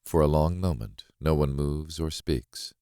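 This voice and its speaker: A man narrating a thriller audiobook in the first person, in a measured, brooding and dramatic way. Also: very deep voice, dark tone, clean tone, dry recording, clean studio sound.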